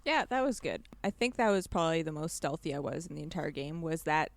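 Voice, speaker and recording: A woman's voice: low voice, a little fast. A woman co-hosting a podcast, chatting casually and colloquially, saying "like" often and acting out her own reactions as she gives opinions. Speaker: podcast co-host.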